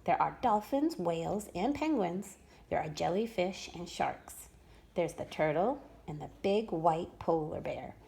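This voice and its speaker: A woman preschool teacher reading a rhyming picture book aloud to children with expressive storytelling. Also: on the low side, measured pace, gravelly, slightly rough. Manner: expressive, sing-song storytelling.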